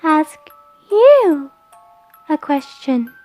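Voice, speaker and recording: A woman voicing an eerie childlike spirit in a story, with a soft, wispy, quivering voice, dreamy and sweetly coaxing. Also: sits high, sing-song, drawn-out words, soft background music, voice pushed up, heavily acted. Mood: eerie, questioning. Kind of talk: audio storytelling character voice.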